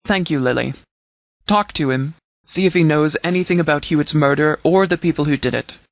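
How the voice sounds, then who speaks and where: A man playing a stern, formal sheriff in a video game, giving orders in a measured, authoritative way. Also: on the high side, no room sound, lightly acted. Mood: businesslike, grave. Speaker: sheriff and commander. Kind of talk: scripted game dialogue, mission briefing.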